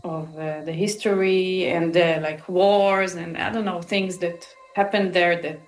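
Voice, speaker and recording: A woman's voice: lowish voice, fairly even intonation, unhurried. Israeli-born woman, English, thinking aloud with many restarts; echoey room, faint music beneath. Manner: thoughtful, hesitant, with many restarts. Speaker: musician guest. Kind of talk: interview answers.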